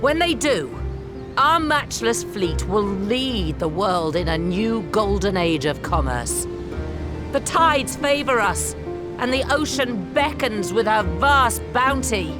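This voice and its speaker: A woman's voice: medium pitch, very animated, slow. An actress playing a resolute woman admiral giving a formal, rousing speech in a game cutscene. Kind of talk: video game cutscene acting. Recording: music playing underneath.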